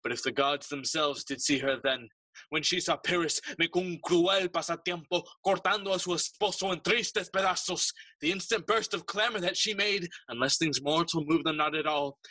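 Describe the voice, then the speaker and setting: A travelling tragic player who declaims epic verse in a classical audio drama. The voice is highish and forceful, grand and theatrical, in a dry recording. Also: male voice, normal speed, no echo. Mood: tragic, impassioned.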